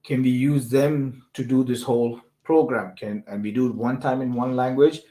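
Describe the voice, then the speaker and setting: A man's voice: medium pitch, fairly even intonation, moderate pace, mellow. A man with a mid-range voice speaks as the host of an informal discussion show. He explains things in a loose, conversational way, often checking that the point has landed. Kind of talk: casual discussion of technology and business use cases.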